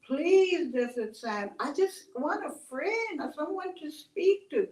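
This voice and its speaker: A woman voicing a lonely male cactus who pleads in a high, tearful voice for a friend. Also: lively intonation, slow, darkish tone, echoey room, distant mic. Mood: pleading.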